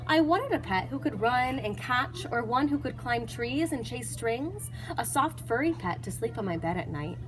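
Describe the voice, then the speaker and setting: A woman narrating a children's picture book in an expressive, animated read-aloud manner, acting out dialogue and sounds. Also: fairly high pitch, moderate pace, hardly any pauses, noisy background.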